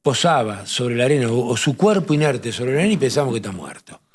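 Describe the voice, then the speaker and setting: A man with a Rioplatense accent explaining poetry and translation in a measured talk-show conversation, often restarting his phrases. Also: medium pitch, lively intonation, a little hiss or hum. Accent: Rioplatense Spanish. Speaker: literature professor on a TV talk show.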